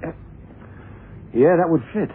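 An actor playing an obliging junior police assistant in a 1970s radio crime drama, hesitant and deferential. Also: male voice, on the high side, a little slow, breathy edge. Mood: thoughtful, tentative. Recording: tinny, band-limited old radio recording.

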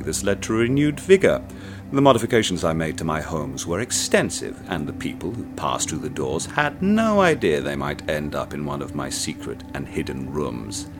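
Deep-voiced actor as a ruthless occult collector, calm and menacing, radio play with music under. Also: male voice. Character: obsessive cold wealthy man seeking forbidden puzzle. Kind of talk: radio play narration and dialogue.

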